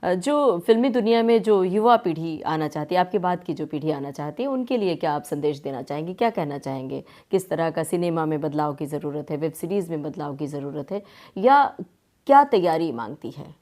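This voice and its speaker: A woman with a fairly low voice, working as a radio interviewer. She is polite and attentive, speaking in a conversational way to her guest. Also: animated, fairly quick. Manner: polite, frequent soft acknowledgements.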